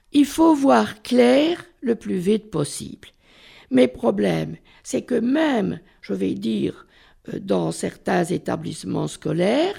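An older speaker on a discussion panel, talking slowly and deliberately in a reflective way. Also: mid-range voice, gravelly, warm tone, slightly rough.

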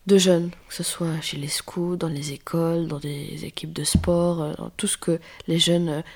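A woman's voice: sits low, fairly even intonation, moderate pace, vocal fry, a little mellow. A woman on a discussion panel, speaking tentatively and searching for her words.

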